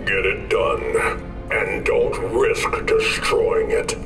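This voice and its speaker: A cold, demanding villain enforcer for a video game. His very deep, slow voice sounds distant and echoing. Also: very animated, over music, heavily acted. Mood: impatient, threatening. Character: stern, threatening enforcer for a dark master.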